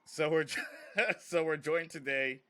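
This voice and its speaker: A man's voice: fairly high pitch, measured pace, fairly bright tone, dry recording. A man hosting a podcast, chatting casually and informally with some swearing. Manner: informal, profane. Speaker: podcast host.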